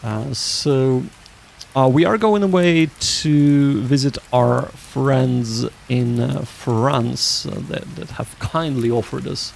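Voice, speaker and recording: A man hosting a study livestream, chatting casually in a halting, hesitant way. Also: medium pitch, vocal fry, a little mellow, a little hiss or hum.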